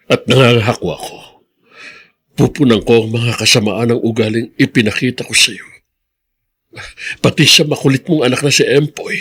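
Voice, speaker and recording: An actor playing a remorseful old grandfather in a Filipino radio drama, raspy, earnest and solemn, with an emotional delivery. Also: fairly low pitch, a bit bright.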